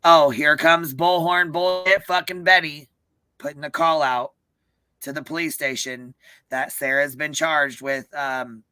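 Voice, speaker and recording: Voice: medium pitch, steady tone, measured pace, sharp tone, smooth, no room sound, poor audio. A livestream host chatting casually about events in an emphatic, profane way. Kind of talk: casual live commentary chat.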